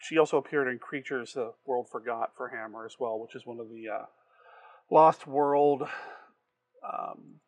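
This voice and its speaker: A man talks casually about films as a podcast guest, explaining details like someone who knows the subject. His pitch is average and the recording is dry and close. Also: normal speed, no room sound. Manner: knowledgeable, explanatory. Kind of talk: casual film discussion.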